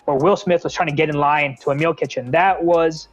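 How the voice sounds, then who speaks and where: A man's voice: fairly high pitch, fairly even intonation, a little fast, highs cut off. A young American man from the Bay Area, a nonprofit founder being interviewed, talking earnestly with many fillers and restarts. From San Francisco Bay Area. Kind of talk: casual interview talk.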